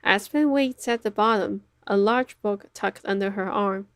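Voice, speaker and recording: A woman's voice: mid-range voice, a little slow, a bit bright, no echo, studio quality. A woman streamer reading a visual novel aloud, mixing narration with casual reactions. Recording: game music faintly behind the voice.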